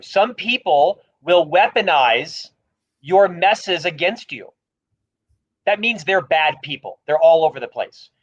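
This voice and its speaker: A man's voice: high-pitched, highs cut off. A man with a stutter, speaking emphatically and with humor as a guest in a livestream conversation about leadership. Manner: emphatic, self-deprecating, openly stutters on some words. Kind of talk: livestream interview talk.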